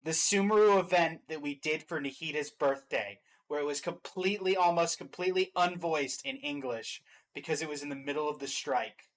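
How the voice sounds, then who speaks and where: A man's voice: a little high, moderate pace, hardly any pauses, low-quality recording. A man chatting casually as the host of a reaction show, commenting off the cuff.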